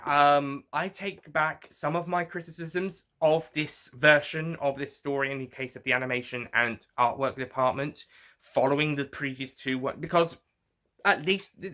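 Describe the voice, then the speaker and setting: A man giving a casual, unscripted review, speaking in a halting, rambling way and often repeating or correcting himself. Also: a little high, sharp tone.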